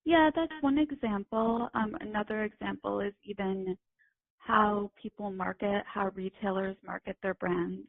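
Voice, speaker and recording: A young woman speaking at an ordinary pitch as an interview guest, laying out her points steadily with small ums. Her voice is dry and close, and it is squeezed into a narrow, phone-like band. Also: steady tone, vocal fry, no echo. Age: young adult. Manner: measured, explanatory, with ums. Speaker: guest, retail writer and editor. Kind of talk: casual interview chat.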